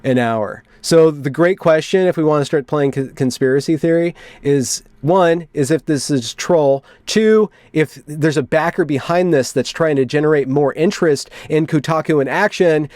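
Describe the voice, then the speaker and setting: A man with a fairly high voice hosts an internet commentary video. His delivery is wry, with a mock-dramatic streak when reading aloud. The sound is dry, with no room echo to speak of. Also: normal speed, few pauses, a little mellow. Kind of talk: commentary on internet culture, reading out a post and reacting.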